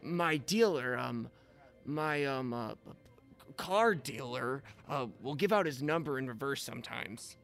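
An actor playing a goofy, laid-back young video store clerk who talks in casual slang in a comic audio drama. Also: male voice, mid-range voice, lively intonation, a little slow, creaky, fairly bright tone, faint music behind. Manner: casual slangy, dude-and-bro talk. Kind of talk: comedic audio drama acting.

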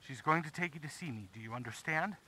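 A man playing a smooth-talking, quietly threatening schemer in an audio drama, measured and condescending. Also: a little high, lively intonation, heavily acted, rough recording. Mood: genial.

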